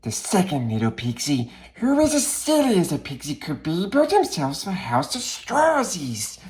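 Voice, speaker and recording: A man voicing a wretched, whiny, gleeful cave creature who reads a children's storybook theatrically, with raspy, strained speech and muttered asides to himself. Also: a little high, wildly swooping pitch, moderate pace, a little mellow. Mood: excited, theatrical. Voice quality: raspy, strained, swooping between growl and squeal. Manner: muttering asides to self, exclaiming, distorted pet words. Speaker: reader, host of the series.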